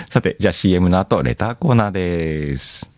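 A man hosting a casual solo chat show, rambling and self-deprecating, often laughing at himself, with music behind him. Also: low voice. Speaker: host of an audio show. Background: background music.

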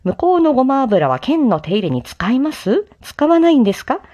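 A woman reciting a theatrical Japanese-style sales-pitch monologue in a declamatory, sing-song way with playful touches. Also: big pitch swings, normal speed, mellow. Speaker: amateur voice drama performer, streamer. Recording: slightly dull lo-fi microphone sound.

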